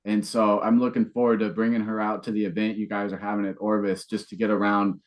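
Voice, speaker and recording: A man's voice: fairly low pitch, little pitch movement, fast talker. A man hosting a podcast, interviewing guests in a casual, conversational way. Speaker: podcast host.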